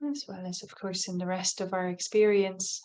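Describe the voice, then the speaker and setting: A woman teaches a guided body scan meditation in a medium-pitched voice. She speaks gently and takes her time, leaving long pauses between phrases. Each instruction is offered softly, as an invitation. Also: level tone.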